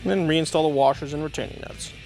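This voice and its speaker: Higher-pitched man giving a tutorial. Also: quick pace, over music, poor audio. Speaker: host of car parts installation tutorial. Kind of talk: instructional product demonstration.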